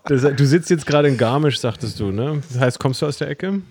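A man co-hosting a podcast, casual and joking with quick interjections. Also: mid-range voice, a little mellow, a little hiss or hum. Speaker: podcast co-host.

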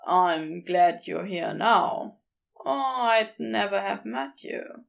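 A woman reads as a gentle man smitten on a date in a romantic audiobook. He speaks tenderly and without hurry, his voice softened by a creaky fry. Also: mid-range voice, expressive, slow, voice pushed down, full character voice.

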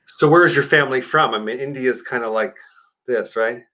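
A man's voice: mid-range voice, normal speed, pushed and bright. An older man hosting a casual conversational interview, chatty and often repeating words as he goes. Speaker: interviewer, show host.

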